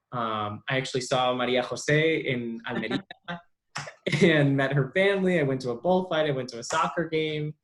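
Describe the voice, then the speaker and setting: A young adult man as an interview guest, talking casually with many pauses and restarts. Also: medium pitch. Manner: halting, lots of ums and restarts. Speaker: interview guest, bilingual speech therapist.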